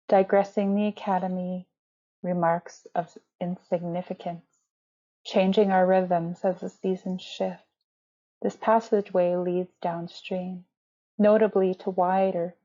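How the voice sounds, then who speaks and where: A woman poet introducing and reading her poem with an even, flat delivery. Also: fairly low pitch, highs cut off. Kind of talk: poetry reading.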